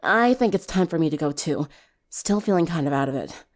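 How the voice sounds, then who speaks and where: A weary teenage vampire girl, played by a woman narrator, slightly low in pitch. She sounds evasive and understated, with an exasperated edge to her storybook dialogue. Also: lively intonation, fairly quick.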